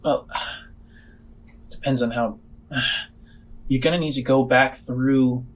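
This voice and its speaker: A man narrating as game master of a tabletop role-playing game, phrasing things haltingly and deliberately with pauses. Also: mid-range voice, fairly even intonation, rough recording. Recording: music behind the voice. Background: music.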